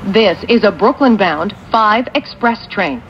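A woman's voice: expressive, a little slow. Muffled American woman making train announcements. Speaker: transit announcer. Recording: dull, noisy recording aboard subway train. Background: train rumble and vehicle noise.

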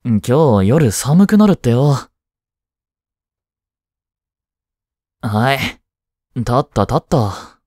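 A young man playing a teasing but tender schoolboy boyfriend in a voice drama, speaking casually and softly with hesitant pauses. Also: medium pitch, sing-song, no echo, clean studio sound. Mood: casual concern. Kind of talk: Japanese boyfriend voice drama, intimate one-sided dialogue.

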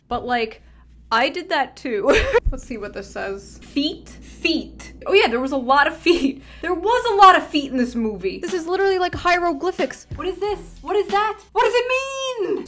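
A woman's voice: sits high, a bit bright. A young woman giving a sarcastic video essay commentary, ironic and crude with bursts of mock outrage, close to a studio mic. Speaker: online commentator. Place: small indoor room with tinsel backdrop. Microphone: large studio mic close in front.

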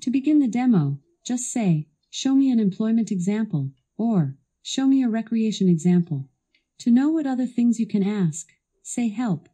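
A woman's voice assistant delivering scripted product demo narration in a polished, instructional, clearly enunciated way. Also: a little high, animated, moderate pace, dark tone.

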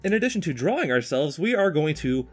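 A young man hosting a casual podcast in an animated, teasing way, bantering while drawing. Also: on the high side, fast talker. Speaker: podcast host.

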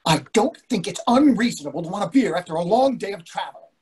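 A man's voice: high voice, expressive, quick pace, darkish tone. An older man playing an irritable, impatient husband on vacation in a comedy play reading, curt and defensive. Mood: exasperated. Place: indoors on a video call. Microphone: video call microphone.

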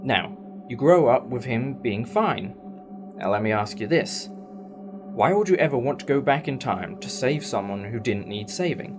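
A man's voice: medium pitch, expressive, measured pace, music in the background, close to an everyday voice. A man acting in a fan audio drama as a thoughtful, reassuring alien time traveller who explains things in a musing, conversational way. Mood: musing, teacherly.

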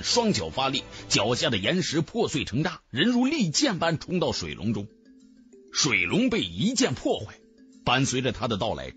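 A man's voice: mid-range voice, fairly bright tone. A man narrating a fantasy audiobook in a dramatic, theatrical scripted style.

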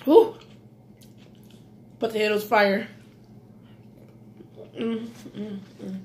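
A woman's voice: medium pitch, flat delivery, drawn-out words, a little hiss or hum. A woman in her thirties from Belize with a slight Belizean accent, chatting casually in an eating vlog at home, savoring food with frequent mm's. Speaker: mukbang vlogger. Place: small indoor room at home.

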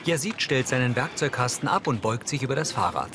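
A man narrates a TV series as audio description. His delivery is neutral and factual, at an average male pitch. Music is clearly audible beneath his voice. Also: lively intonation, fairly quick. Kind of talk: scripted scene narration, present tense.

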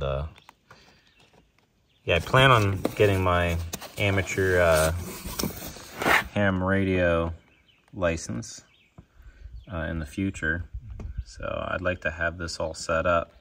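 A man's voice: low voice, noisy background. A man narrating a do-it-yourself build outdoors in a casual, plain-spoken way, with frequent filler sounds. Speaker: homesteader vlogger. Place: outdoors on a rural homestead yard.

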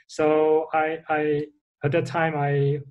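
A man's voice: on the high side, completely flat delivery, slow pace, darkish tone, highs cut off. A young man from the Netherlands, a guest on a video call from a bedroom, talking hesitantly and searching for words. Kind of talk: casual interview. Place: bedroom, indoors.

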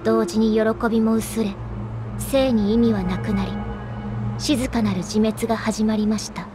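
A woman's voice: on the high side, steady tone, measured pace, lightly acted. A woman voicing a sorrowful, eerily serene young female herald of doom in a game, with solemn, lyrical delivery and music underneath. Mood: solemn, mournful. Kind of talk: scripted dramatic game monologue.

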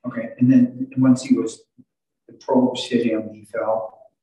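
An older man working as a defense attorney, questioning in court in a halting way and repeating his words. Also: medium pitch, flat delivery, warm tone. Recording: very echoey courtroom, distant microphone.

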